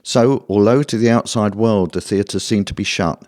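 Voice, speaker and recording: A man's voice: fairly low pitch, moderate pace, darkish tone, dry recording, studio quality. A man reading out a prepared, formal statement as an organisation's official. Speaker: theatre official. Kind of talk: scripted statement read aloud.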